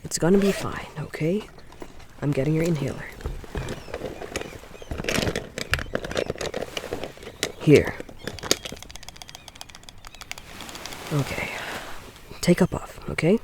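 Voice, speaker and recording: A man acting a caring young boyfriend in an audio roleplay, soothing his girlfriend in a gentle, often hushed and breathy way. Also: on the high side, normal speed, noisy background, lightly acted. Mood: anxious, soothing.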